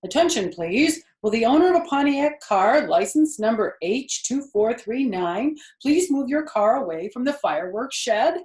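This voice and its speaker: An enormous, official fairground announcer from a children's story, put on by a woman. The delivery is loud and formal, with raised pitch. The room echoes around it. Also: animated, normal speed, few pauses, natural voice.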